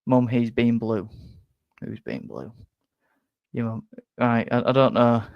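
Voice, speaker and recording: Young Yorkshire bloke, slightly nasal, rambling live-stream chatter, mid pitch, dry close mic. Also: male voice, slow, creaky, dry recording, dull audio. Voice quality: bit nasal, flat. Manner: rambling, self-deprecating, stumbles and repeats words. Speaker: football fan streamer reading chat. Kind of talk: casual live-stream chat.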